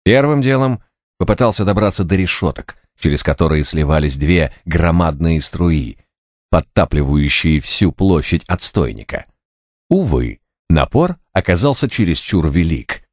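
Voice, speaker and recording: A man reading fiction as an audiobook narrator, with an expressive, wry, dramatic delivery. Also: low voice, dry recording, studio quality. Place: studio, dry and close.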